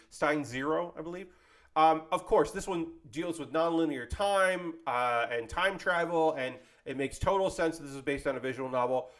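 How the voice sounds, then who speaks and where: A man hosting an anime video series in a casual, rambling, conversational style, self-deprecating and given to tangents and mild swearing. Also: mid-range voice. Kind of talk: casual rambling vlog commentary.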